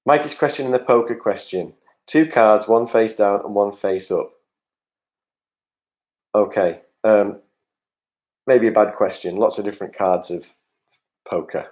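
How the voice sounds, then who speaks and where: A man's voice: lowish voice, unhurried, pauses often, darkish tone. A man hosting an online pub quiz, reading out answers with chatty jokes and asides to the players over a video call. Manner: jokey, chatty asides to players, reads questions aloud. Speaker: quiz host.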